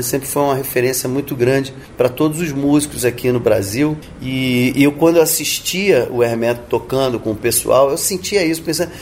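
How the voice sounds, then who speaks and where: A man's voice: medium pitch, a little mellow, a little hiss or hum. A man from Rio de Janeiro with a Brazilian Portuguese accent, answering interview questions thoughtfully, with many restarts and tag questions. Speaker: interviewed musician.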